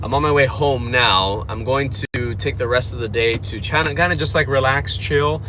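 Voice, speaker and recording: American man rambling over loud car noise. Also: mid-range voice, moderate pace. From Florida, United States. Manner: rambling, laid-back slangy talk with "dude" and "man". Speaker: car livestreamer. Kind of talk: casual livestream chat while driving. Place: inside a moving car. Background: car and road noise.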